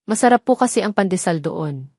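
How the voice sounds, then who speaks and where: A woman's voice: fairly low pitch, animated, fairly quick, darkish tone, breathy edge, dry recording, studio quality. Woman voicing a shy young village woman. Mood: shy, guarded. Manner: deferential, hesitant.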